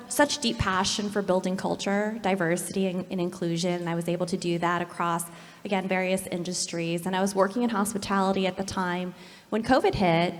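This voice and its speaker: A woman speaking on a panel about her career, candid and self-deprecating. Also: mid-range voice. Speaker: panelist, gaming company executive. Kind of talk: panel discussion. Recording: long hall reverb.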